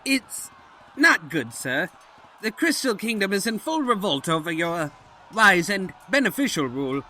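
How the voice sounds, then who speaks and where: An actor playing an elderly, loyal pony chancellor who is fretful, formal and obsequious, in comedic audio drama. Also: male voice, high voice, big pitch swings, unhurried, bright, forceful tone, full character voice. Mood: anxious, deferential.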